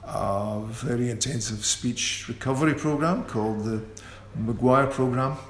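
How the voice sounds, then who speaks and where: A man who stammers, talking in a radio interview with repeated sounds and restarts. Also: medium pitch. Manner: slow and considered. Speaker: interview guest, musician. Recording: echoey room with faint ambient noise.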